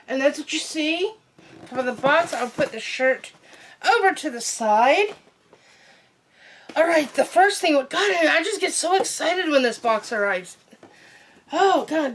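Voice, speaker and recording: A young woman chatting casually through an unboxing while sick, her voice nearly gone, excitable and full of ums. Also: high-pitched. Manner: excitable, many ums and trailing asides. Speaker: unboxing vlogger.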